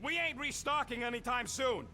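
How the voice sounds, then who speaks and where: An actor voicing a cranky, brash little creature, a tech-minded space outlaw in a video game, snappy and sarcastic. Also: male voice, very high voice, normal speed, full character voice. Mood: irritated. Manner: snappy, sarcastic, loud, slangy. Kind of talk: animated video game character acting.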